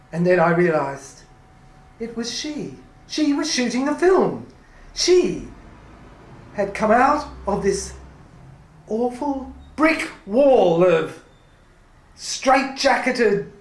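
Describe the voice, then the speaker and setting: Slow-speaking woman in an echoey room. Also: fairly high pitch. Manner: halting, fragmented phrases with repetitions. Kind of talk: dramatic spoken-word monologue.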